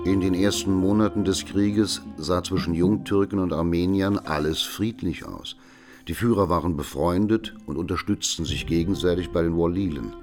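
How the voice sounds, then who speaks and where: An actor playing a grave chronicler, narrating history in a measured, formal way for a documentary radio play. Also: male voice, sits low, a little mellow. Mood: sober, grave. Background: music.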